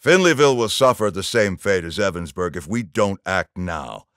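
Commanding army general with a lively, dry-recorded delivery. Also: male voice, low-pitched, moderate pace, no echo. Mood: grave, firm. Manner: authoritative, clipped military delivery. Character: stern, commanding middle-aged male army general. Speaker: military commander character in a video game. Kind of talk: video game voice acting, radio briefings and orders.

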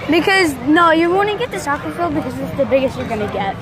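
A man's voice: very high-pitched, very animated, fast. A teenage boy vlogging on a swinging handheld phone in a large indoor sports complex, rambling and repeating himself. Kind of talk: casual handheld chat. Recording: loud crowd and noise behind.

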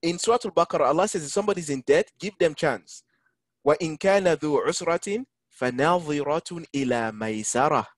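A man teaching an online Quran lesson, engaging his listeners and reciting Arabic verses quickly. English is not his first language. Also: fairly high pitch, no echo. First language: neither Arabic, English nor French. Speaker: imam teaching a Quran class. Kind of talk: online Quran lesson over a video call. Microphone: video call.

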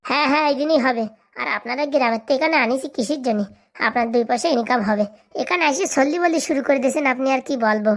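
Cartoon comedy: actress as scheming, mouthy village lad, high voice, breakneck sarcastic delivery. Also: female voice. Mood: impatient, sarcastic. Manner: sarcastic, blunt, quick-tongued. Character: cheeky, sarcastic young village man, scheming and bossy.

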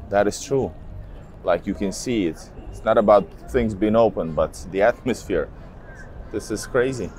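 Deep-voiced man vlogging at arm's length. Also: a little mellow. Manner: casual, thinking aloud with many fillers, addresses viewers as guys. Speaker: travel vlogger. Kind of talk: walking travel vlog. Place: outdoors at a city railway station, then inside a large station hall. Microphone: handheld camera at arm's length.

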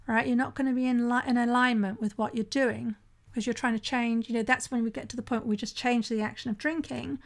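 A middle-aged woman hosting a solo podcast in a conversational way, often checking in with "right?". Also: a little high. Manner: conversational, often asks "right?", occasional restarts. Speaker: podcast host and sober-living coach.

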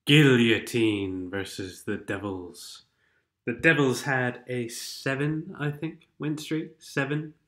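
A man's voice: mid-range voice, slow, fairly bright tone. A man with a stuffed-up nose from a cold, giving sardonic, rambling commentary over game replays. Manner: sardonic, rambling, sings snatches of songs. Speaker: gaming commentator.

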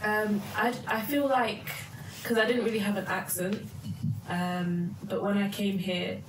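A young woman, born in Germany, answering interview questions with many pauses and ums. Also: medium pitch, steady tone. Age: young adult. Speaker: guest. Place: living room, indoors. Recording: echoey room from far off, faint noise.

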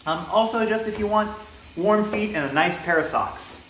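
A man's voice: high-pitched, normal speed. Young man reviewing in an echoey room. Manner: relaxed, with frequent ums and restarts. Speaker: product reviewer. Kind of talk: casual product review. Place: bare indoor room with wooden floor. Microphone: camera mic, near then from a distance. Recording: echoey room, faint hiss.

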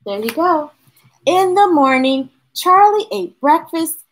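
A middle-aged woman reading a picture book aloud to children, expressive, with chatty asides to her young listeners. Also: very high voice, a little hiss or hum. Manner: expressive, drawn-out delivery addressing young listeners. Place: small indoor room with bookshelves.

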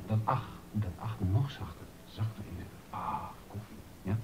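An actor playing an exacting yet amorous baroque music master in a docudrama, giving short, deliberate instructions with pauses. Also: male voice, on the low side, natural voice. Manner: instructive, deliberate, pausing between short phrases. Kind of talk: Dutch radio docudrama acting. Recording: dull, roomy sound with music behind.

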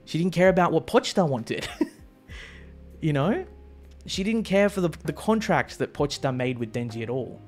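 A man in his thirties streaming a manga reaction, rambling and swearing casually into a close boom mic. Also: lively intonation, normal speed, faint music behind. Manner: rambling, swearing, laughing often. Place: home streaming room.

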